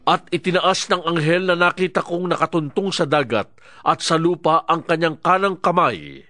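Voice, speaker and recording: Animated, high-voiced prophet narrator. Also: male voice, normal speed, no echo, studio quality. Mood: awed, solemn. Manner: declamatory, earnest. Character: awestruck male prophet recounting his vision. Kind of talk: dramatised scripture narration.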